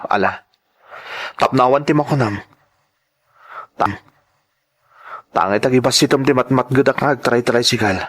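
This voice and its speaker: A man's voice: medium pitch, a little slow, pauses often, fairly bright tone. Man acting as Rodel, grave protective Ilocano husband. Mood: resolute. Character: Rodel, protective, dutiful husband and tricycle driver. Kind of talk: Ilocano radio drama acting.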